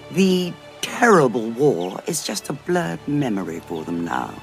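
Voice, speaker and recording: Scheming female commander, British accent, condescending, coolly amused, slow, wildly varied intonation, audio drama. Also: low-pitched, exaggerated voice. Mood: wistful, measured. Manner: arch, condescending, theatrical. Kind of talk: full-cast audio drama acting.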